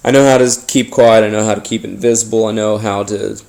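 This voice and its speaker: A man hosting a paranormal podcast, telling his story informally in a halting, rambling, conspiratorial way. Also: fairly low pitch, steady tone, creaky, a little mellow. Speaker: podcast host. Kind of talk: informal first-person storytelling monologue, paranormal audio drama.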